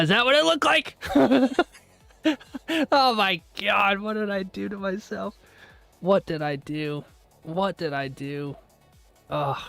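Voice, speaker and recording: A man's voice: on the high side, creaky, bright, forceful tone. A man hosting a live trading stream, chatting casually with his viewers, laughing often and rambling through asides. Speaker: trading livestream host.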